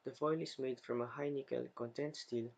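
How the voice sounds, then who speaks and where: Young guy reading his slide script out loud, student presentation, normal pitch, careful phrase by phrase. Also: steady tone, normal speed, creaky, dull audio. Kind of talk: scripted slide narration, read aloud.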